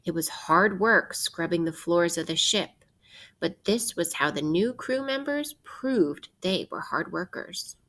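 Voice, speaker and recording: A woman teacher reading a picture book aloud to children in a deliberate, instructive way, posing questions as she goes. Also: on the low side, lively intonation, a bit bright.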